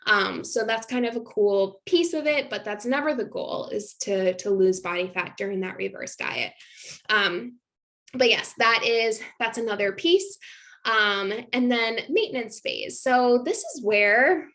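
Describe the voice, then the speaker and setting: A woman's voice: fairly high pitch, lively intonation, a little slow, fairly bright tone. A young adult woman, a nutrition coach, giving a casual online masterclass in a chatty manner full of fillers and little laughs. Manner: chatty, filler-heavy, laughs between points.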